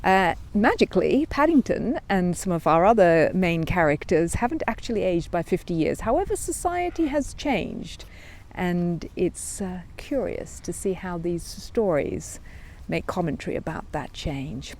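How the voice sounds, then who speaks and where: A woman's voice: lowish voice, big pitch swings, measured pace, darkish tone, slight background noise. A woman storyteller narrating and introducing a children's book.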